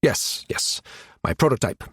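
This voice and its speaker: A man's voice: measured pace, no room sound, clean studio sound, close to an everyday voice. A man voicing an irritable, pedantic male scientist with a fussy, indignant manner in an audiobook. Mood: disapproving.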